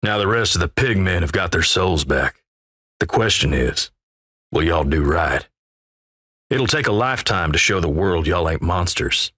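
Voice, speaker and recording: A man playing a gruff, folksy, wry Old West narrator in a video game, with a gravelly American Western drawl and measured, ominous storytelling. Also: low-pitched, expressive, pushed and bright, no room sound, studio quality, full character voice. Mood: somber, judging. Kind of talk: dramatic second-person scripted game narration.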